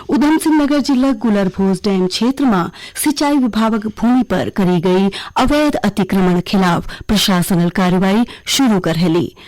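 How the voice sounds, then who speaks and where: A woman with a Kumaoni accent reads a scripted regional radio news bulletin in a formal, measured way. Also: medium pitch, a little mellow, no room sound, poor audio. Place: radio studio.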